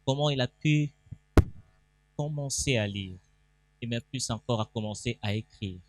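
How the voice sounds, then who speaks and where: A man's voice: mid-range voice, a little slow, slight background noise. A man who is a pastor moderating a reading event on stage, announcing guests and calling for applause. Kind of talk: event hosting.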